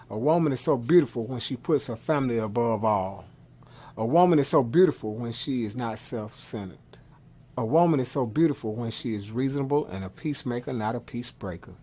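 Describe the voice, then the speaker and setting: A man's voice: on the low side, a little hiss or hum. A man giving a dramatic reading of poetry in a declamatory manner, leaning on repeated phrases. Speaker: poetry reader.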